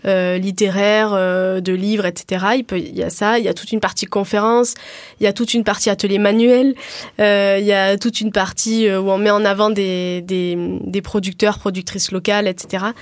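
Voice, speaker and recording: A woman's voice: medium pitch, little pitch movement, moderate pace, dry recording. Woman in her thirties, dry studio sound. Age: 30s. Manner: thinking aloud with many restarts and fillers. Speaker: guest, network coordinator. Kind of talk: casual radio interview. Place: radio studio.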